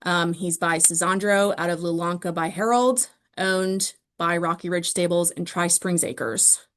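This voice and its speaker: A woman's voice: on the low side, measured pace. Woman reading out award winners on a call. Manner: steady listing of names with frequent ums. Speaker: awards ceremony presenter. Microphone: video call.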